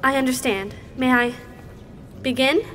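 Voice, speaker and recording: Young female apprentice scholar: high voice, eager, deferential, reverberant hall. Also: a little slow, fairly bright tone. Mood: earnest, curious. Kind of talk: fantasy audio drama acting. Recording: long hall reverb, faint background noise.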